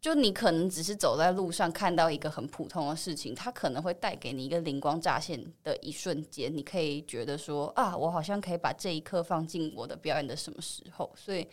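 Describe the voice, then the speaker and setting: A woman with a Taiwanese Mandarin accent speaks in a slightly low voice. She talks like a guest actress in an interview, reflective and conversational, laying out her thoughts at length. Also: a little fast, hardly any pauses.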